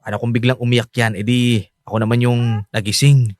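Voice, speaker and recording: A man's voice: mid-range voice, level tone, a little fast, a little mellow, dry recording, lightly acted. A man playing a tired, needy young husband craving his wife's attention in a Filipino radio drama. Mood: whiny, annoyed.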